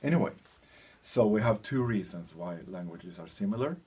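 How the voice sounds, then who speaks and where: A European man giving an informal explanatory lecture as a teacher, speaking in halting phrases with pauses and a husky voice. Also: lowish voice, expressive, darkish tone.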